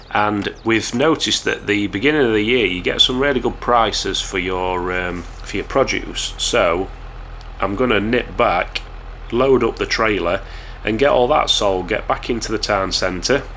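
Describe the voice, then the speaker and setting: A young man from England with a hoarse voice from a cold, chatting casually to viewers while commentating a game. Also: on the low side, measured pace, slight background noise. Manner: chatty, relaxed, talks straight to viewers. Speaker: gaming YouTuber.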